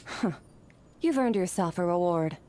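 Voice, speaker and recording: A woman's voice: fairly low pitch, animated, measured pace. An actress playing a cool, composed, aloof female warrior in a video game, with noise behind her. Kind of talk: video game character voice lines.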